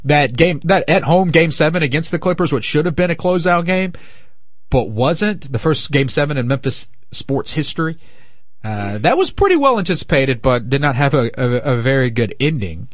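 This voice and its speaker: A man's voice: fairly high pitch, normal speed, no echo, studio quality. A man hosting a sports radio show, chatting casually and joking, with a stuffed-up nose. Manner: animated, jokey. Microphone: studio microphone.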